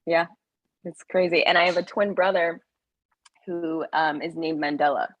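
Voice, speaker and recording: A woman's voice: fairly low pitch, fairly even intonation, moderate pace, lo-fi sound. A young Kenyan-American woman as an interview guest, chatting in loose run-on phrases and laughing often. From Kenyan-American, raised in the US, Canada and South Africa. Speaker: guest, actor and writer. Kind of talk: casual interview chat.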